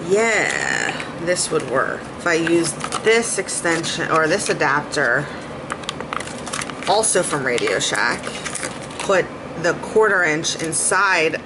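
A woman in her thirties chatting casually at home as she sorts cables and gets ready to rehearse, thinking aloud as she goes. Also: deep voice. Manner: chatty, thinking aloud while sorting gear. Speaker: beauty and music vlogger. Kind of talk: casual vlog chat. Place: small home kitchen, then a home office. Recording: audible background noise, rain and thunder outside.

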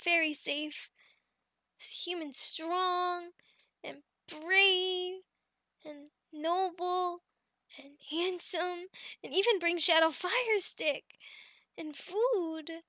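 A woman playing a sweet, shy young adult female goblin in an ASMR roleplay, speaking in halting broken phrases and calling herself by name. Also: very high voice, sharp tone, no echo, clean studio sound, heavily acted. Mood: proud, affectionate. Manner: halting broken speech, refers to herself in third person, giggly.

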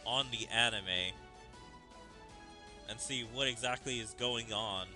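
A man's voice: mid-range voice, slow pace, sharp tone, faint music behind. A man giving casual commentary as he streams a story game. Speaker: gaming streamer. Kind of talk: casual game commentary and read-aloud of dialogue.

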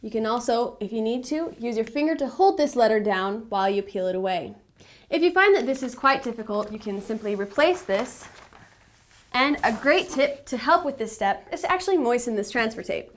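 A young adult woman presenting a step-by-step how-to tutorial in a measured, instructional manner. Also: fairly high pitch, animated, a little hiss or hum.

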